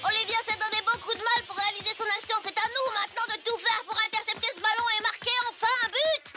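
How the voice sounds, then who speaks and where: An eager, anxious child in a cartoon football dub. The voice is extremely high and talks quickly, with clear music playing behind it. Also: fairly even intonation. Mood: determined. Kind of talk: dubbed cartoon acting.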